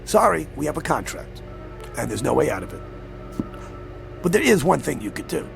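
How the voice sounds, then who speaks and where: Sly devil, swooping high-pitched male voice, gravelly creak, mock-genteel, teasing, radio drama, music underneath. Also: very animated, moderate pace, heavily acted. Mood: gloating. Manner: mock-genteel, teasing, smooth-talking, menacing. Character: sly, theatrical devil posing as a newspaperman.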